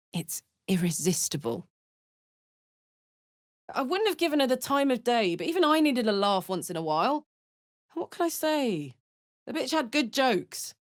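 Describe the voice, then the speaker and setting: A woman voicing a brash, warm-hearted fantasy warrior in a video game, blunt, informal and expressive. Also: fairly high pitch, no echo, studio quality. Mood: warm, tender, then playful, hearty. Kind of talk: video game character voice acting.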